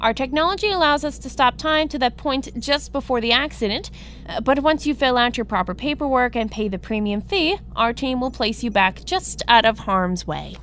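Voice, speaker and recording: A woman playing a brisk, high-voiced receptionist. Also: expressive, a bit bright, a little hiss or hum. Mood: brisk, composed. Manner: patient, businesslike, explanatory. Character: brisk, unruffled female insurance office receptionist. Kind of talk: comedy audio drama acting.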